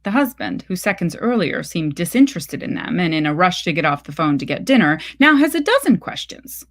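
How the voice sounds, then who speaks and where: A woman reading a literary short story aloud in measured, deliberate phrases. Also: lowish voice, sing-song.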